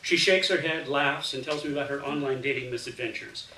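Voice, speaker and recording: A man reads a first-person literary story aloud as an expressive storyteller, performing the dialogue within the narration. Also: mid-range voice, brisk. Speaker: story reader. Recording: roomy, distant mic, faint hiss.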